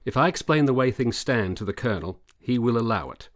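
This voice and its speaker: An actor playing an earnest, persuasive military doctor in a period radio drama, formal and deliberate. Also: male voice, mid-range voice, lively intonation, natural voice. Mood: earnest, persuading. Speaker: supporting actor.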